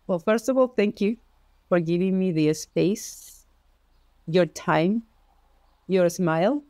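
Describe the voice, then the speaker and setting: Expressive woman with a Spanish accent. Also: on the low side, slow, pauses often, no room sound. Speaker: podcast guest. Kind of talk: personal storytelling in conversation.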